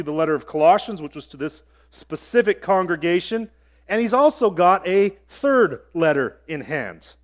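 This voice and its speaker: A man preaching a sermon in a conversational way, with small asides and jokes. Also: high voice. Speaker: pastor.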